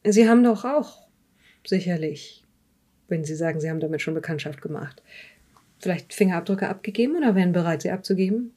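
A woman playing a police investigator in an audio drama, questioning a witness in a measured, polite and formal way. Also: lowish voice, lively intonation, dark tone. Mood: probing, formal. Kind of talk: improvised in-character audio drama dialogue.

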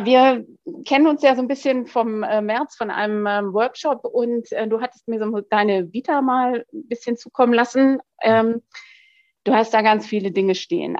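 A woman's voice: a little high, darkish tone, no room sound. Chatty woman hosting, dull dry sound. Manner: loose, self-correcting, chatty. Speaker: podcast host and supervisor. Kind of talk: podcast interview.

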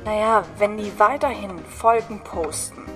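A flustered female secret agent, played by a woman in a comic audio sketch. She is chummy, excitable and scatterbrained, speaking at a middle pitch with music clearly playing behind her. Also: unhurried, natural voice. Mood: defensive, casual.

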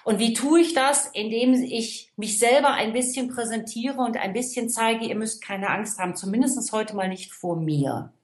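A woman's voice: on the high side, moderate pace, few pauses. Emphatic woman coach in an echoey room. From Rhineland, Germany. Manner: emphatic, explains with rhetorical "ja?" checks. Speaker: trainer and coach. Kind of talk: conversational expert talk.

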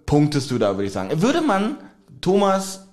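A man's voice: fairly high pitch, huge pitch swings, moderate pace, a little mellow. A man co-hosting a podcast in casual chat, teasing his partner and often laughing as he talks. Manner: teasing, joking, laughs often while talking. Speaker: podcast co-host.

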